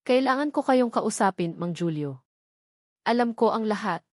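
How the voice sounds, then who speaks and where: A woman's voice: medium pitch, expressive, moderate pace, no room sound, lightly acted. A woman acts out a guilt-ridden married man in a Filipino audio drama. He speaks evasively and heavily burdened, in a Filipino accent, recorded dry and close. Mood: urgent, secretive. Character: troubled, secretive husband, a guilt-ridden male lawyer. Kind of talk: audio drama dialogue in a love story.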